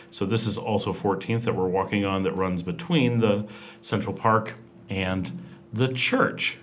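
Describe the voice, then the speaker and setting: Vlog host with a lowish voice. Relaxed, rambling voice-over narration full of fillers and restarts. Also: male voice, slight background noise. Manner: chatty and informal. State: rushed. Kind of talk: casual voice-over narration of a walking tour.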